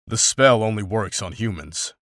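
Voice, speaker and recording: Guy acting as a grim fantasy monster hunter, low voice, deadpan and formal, very dry close recording. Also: normal speed, no room sound, close to an everyday voice. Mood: dry, grave. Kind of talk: fantasy visual novel voice acting.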